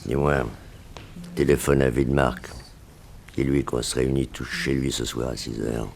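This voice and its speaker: Very deep-voiced gruff gang boss. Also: male voice, steady tone, normal speed, darkish tone. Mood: businesslike orders. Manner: gruff, condescending, commanding. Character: tough male gang boss, cynical, bossy. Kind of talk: 1960s French radio drama acting. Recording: noisy vintage radio drama recording.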